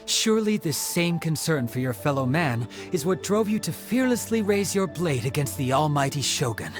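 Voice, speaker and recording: An actor playing a friendly, helpful young man in a game who shares leads, with an eager, expressive manner. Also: fairly high pitch, normal speed. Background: game music.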